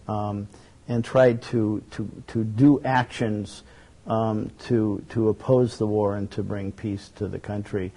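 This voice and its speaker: A man as a guest on a talk show, explaining his work earnestly and at a measured pace, with frequent fillers and restarts. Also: fairly low pitch, dark tone. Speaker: guest, documentary network director.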